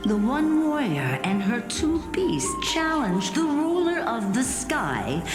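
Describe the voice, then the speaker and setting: A solemn, slow-spoken old grandmother storyteller. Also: medium pitch. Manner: measured, dramatic, weighty phrasing. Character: aged grandmother, solemn, tender keeper of old legends. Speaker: voice actor in a fantasy game. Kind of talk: dramatic fantasy game storytelling. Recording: reverberant studio voice under music.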